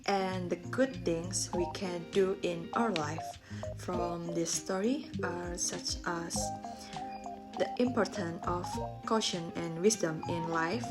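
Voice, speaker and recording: A woman's voice: lowish voice, slow pace, loud music behind the voice. A young woman giving a scripted storytelling presentation as a student.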